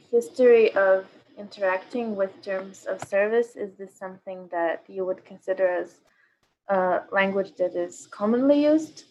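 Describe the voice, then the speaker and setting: A woman's voice: mid-range voice, lo-fi sound, slight background noise. A woman hosting a workshop, guiding participants and asking questions in an informal discussion. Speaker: workshop host.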